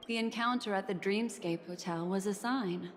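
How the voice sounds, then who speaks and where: An actress playing a poised, enigmatic, alluring collector of memories in a video game, speaking in measured, theatrical, cryptic phrases. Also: female voice, medium pitch, close to an everyday voice. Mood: grave, knowing. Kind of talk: scripted game dialogue. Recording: long reverb, clear music behind.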